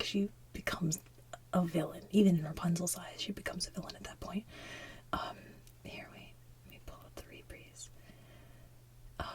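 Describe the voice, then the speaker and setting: A woman chatting casually in a soft whisper as the host of an ASMR video. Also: lowish voice, far from the mic, poor audio.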